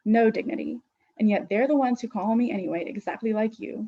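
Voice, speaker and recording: An ancient, weary female Death, as a woman puts her on, lecturing with grand scorn in a raised, husky voice that swells loud on her pronouncements. The sound is dull and lo-fi, like a storybook reading. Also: fairly high pitch, a little fast. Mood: scornful.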